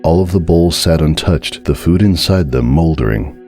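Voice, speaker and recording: Very deep-voiced man narrating horror. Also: moderate pace, warm tone, faint music behind. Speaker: narrator reading a fan horror story. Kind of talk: dramatic scripted narration.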